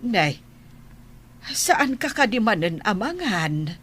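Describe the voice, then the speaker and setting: A woman's voice: mid-range voice, big pitch swings, slow, a bit bright, slight background noise. A woman playing a worried, nagging village mother in a radio drama, hesitant and pleading. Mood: worried, coaxing. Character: worried, nagging village mother fretting over her child. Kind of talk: Ilocano radio drama acting.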